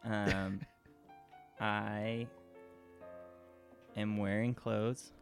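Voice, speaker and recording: Slow, gravelly, deadpan man. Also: fairly low pitch, soft background music. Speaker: player. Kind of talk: casual chat.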